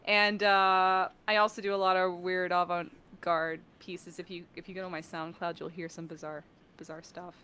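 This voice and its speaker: A woman with a very bright, forward voice in a casual interview. She talks in an animated, self-mocking way and slips into small acted quotes as she explains her work. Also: on the low side, moderate pace, smooth. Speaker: guest, voice artist and sound designer. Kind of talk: casual interview chat on a live stream.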